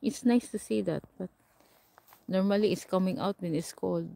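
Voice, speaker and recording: A woman's voice: mid-range voice, moderate pace, creaky, warm tone, dry recording, poor audio. A woman from the Philippines with a Filipino accent, hosting a casual livestream and chatting with her viewers, answering their comments and laughing often. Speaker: livestream host. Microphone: camera or phone mic set a short way off.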